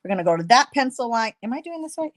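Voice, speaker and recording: Chatty craft host, lively and a bit hoarse. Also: female voice, on the high side, fast. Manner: chatty, self-deprecating, laughs often. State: slightly hoarse, stuffy nose. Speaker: card-making tutorial host. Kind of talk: casual craft tutorial.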